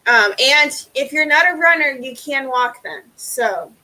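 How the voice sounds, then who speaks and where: Bright, slow-paced Midwestern woman. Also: high-pitched, lively intonation, low-quality recording. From Illinois, US. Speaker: livestream co-host. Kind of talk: casual livestream chat.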